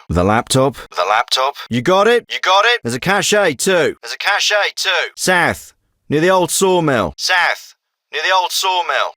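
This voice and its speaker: A man's voice: fairly low pitch, sharp tone, no room sound, exaggerated voice. A man voicing a businesslike military intelligence handler in a video game, giving mission briefings with clipped, purposeful delivery. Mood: instructive.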